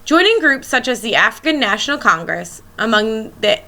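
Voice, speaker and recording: A woman's voice: on the high side, big pitch swings, normal speed, bright, forceful tone. A woman schoolteacher reading a children's picture book aloud, expressively and as if speaking directly to young listeners.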